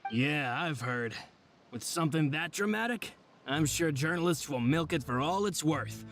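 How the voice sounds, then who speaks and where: An actor playing a loyal, respectful gang advisor in a video game, speaking in a measured, earnest and formal way. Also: male voice, on the high side, expressive, a bit bright, a little hiss or hum. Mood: polite, dry. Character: loyal, respectful male gang advisor to his late boss. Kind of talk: dramatic video game dialogue.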